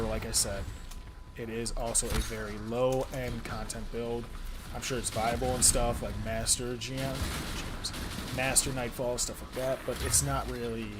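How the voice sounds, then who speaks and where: A man's voice: mid-range voice, very noisy. A young man with a cold, sniffling as he chats casually about a game build while playing. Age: young adult. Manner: rambling, self-deprecating, explains build tips. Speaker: gaming content creator. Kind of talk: casual gameplay commentary.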